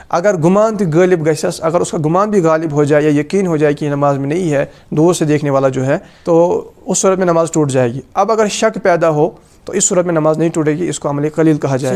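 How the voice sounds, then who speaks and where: A man with a Kashmiri accent and a slightly high voice presents a religious lesson. He explains rules practically and instructively, going back over each point as a teacher would. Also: darkish tone. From Kashmir, South Asia. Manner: instructive, repeats points in two languages.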